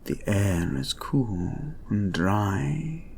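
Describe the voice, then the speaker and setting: A man playing a creepy, obsessive hypnotist therapist in an audio drama, murmuring calm, controlling guided meditation in a soft breath that often drops to a whisper. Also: on the low side, very slow, gravelly, a little hiss or hum, heavily acted. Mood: intrigued.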